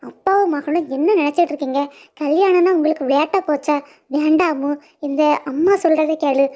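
A woman's voice: high voice, a little fast, mellow, natural voice. Woman voicing an upset protective mother. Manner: upset, pleading. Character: anxious middle-aged mother, protective and upset.

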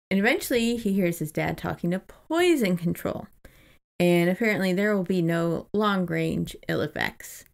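A woman's voice: fairly low pitch, vocal fry. A woman co-hosting a podcast, casually retelling and discussing a book in an irreverent, chatty way. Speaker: podcast co-host.

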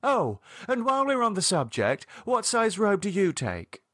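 A man's voice: sits high, huge pitch swings, normal speed, a bit bright, husky, no room sound, clean studio sound, voice pushed up, full character voice. A man voicing a haughty, sensual woman with a macabre streak, arch and sardonic, with a British accent, in an audiobook. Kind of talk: audiobook character dialogue.

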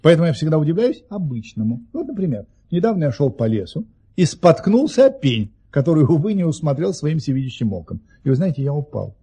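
A genial aristocratic raconteur in a radio play. His voice is mellow and husky, swinging in pitch as he spins tall tales with unflappable, mock-earnest relish. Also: sing-song, a little fast, few pauses, heavily acted. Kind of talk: Russian audio play acting, storytelling.

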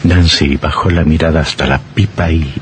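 An actor playing a grave storyteller narrating a horror radio drama. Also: male voice, very deep voice, fairly even intonation, moderate pace, very noisy. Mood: grave, suspenseful.

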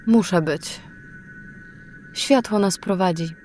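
A woman playing an uncertain, earnest warrior woman without her memories in a fantasy audio drama, soft-spoken and hesitant. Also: on the low side, takes it slowly, soft background music. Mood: resolved.

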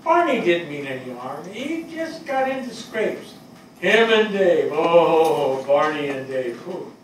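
Older male actor as a fire captain, plainspoken, halting, lively swooping intonation, distant mic, stage drama. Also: a little high. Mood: fond reminiscing. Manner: colloquial, halting, emphatic. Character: plainspoken, earnest New York fire captain reminiscing fondly. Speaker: actor in a staged play reading. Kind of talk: dramatic stage reading, conversational. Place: on stage in a theatre before an audience. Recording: distant mic, echoey hall, audible noise.

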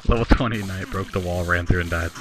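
A man's voice: deep voice, fast. A young man with an American accent chatting casually and joking while playing a game with friends. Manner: joking, laughs often, swears at the game. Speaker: gamer streaming with friends. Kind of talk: casual gaming chat. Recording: noisy voice chat.

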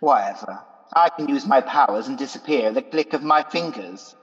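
A man's voice: medium pitch, lively intonation, normal speed, a little hiss or hum, close to an everyday voice. A man playing a wicked, scheming, cowardly demon king in a radio pantomime. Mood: smug, menacing. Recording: muffled, long hall reverb.

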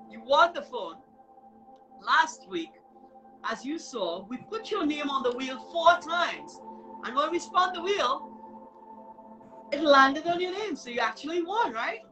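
A woman with a fairly high, very bright and forceful voice hosts a casual live show. She is effusive and encouraging, often repeating herself, with music playing behind her. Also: rough recording. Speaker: show host. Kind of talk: casual live online show chat with giveaway. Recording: music in the background.

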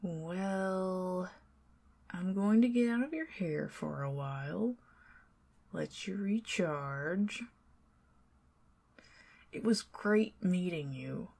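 A young woman with a Southern US accent doing an ASMR roleplay as a chatty neighbor, rambling between soft talk and whispers with nervous giggles. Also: on the low side, animated, very slow, gravelly, pauses often. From Southern United States. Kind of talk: ASMR roleplay, talking to the listener as a friendly neighbor.

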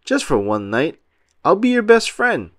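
A man performs a dim, big-hearted cartoon starfish for a storybook. He sounds childlike and naive, quick to get worked up, with big swings in pitch. The recording is dry. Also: huge pitch swings, fairly bright tone, no room sound, heavily acted. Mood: pleading. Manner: naive, pleading, easily worked up.

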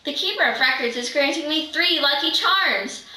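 A teenage girl playing an excitable, proud witch who chants spells dramatically in a readers' theater reading. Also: high voice, moderate pace, exaggerated voice. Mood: excited, proud. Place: living room, far camera microphone. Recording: roomy, distant microphone.

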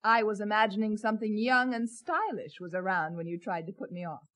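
An actress playing a suspicious, exasperated wife, brisk and reproachful, in a 1970s radio drama. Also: female voice, mid-range voice, lively intonation, fairly bright tone, close to an everyday voice. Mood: pointed, controlled.

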